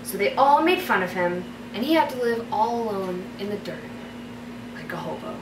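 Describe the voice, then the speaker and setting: A woman's voice: mid-range voice, lively intonation, moderate pace. A woman vlogger telling stories in a casual, chatty and playful way, speaking straight to her viewers. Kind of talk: casual storytelling to camera. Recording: roomy echo, distant mic, background noise.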